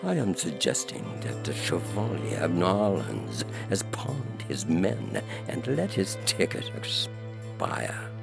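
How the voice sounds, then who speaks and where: A man playing a smooth, calculating Creole go-between who speaks in formal, measured phrases in an audio story. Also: low-pitched, very animated, music in the background, heavily acted. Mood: conspiratorial. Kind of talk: audio fiction character reading.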